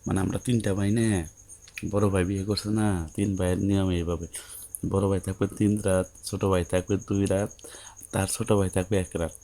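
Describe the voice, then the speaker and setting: A man's voice: low-pitched, flat delivery, normal speed, mellow. A man playing a patient, coaxing young newlywed husband in an audio story, with a Bangladeshi accent and a soothing, colloquial way of explaining. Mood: patiently explaining.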